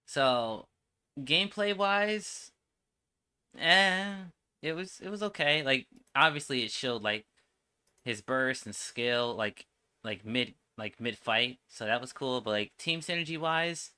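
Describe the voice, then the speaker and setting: A man's voice: medium pitch, slow, bright, forceful tone, clean tone. A man giving casual, opinionated reaction commentary as a streamer, with slangy, sweary speech. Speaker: streamer reacting to game trailers.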